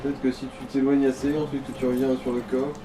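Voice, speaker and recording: A man's voice: medium pitch, little pitch movement, quick pace, music in the background. A man in casual, slangy gameplay chat, giving advice to the player. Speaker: gaming companion giving advice. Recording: noisy, distant mic, game ambience.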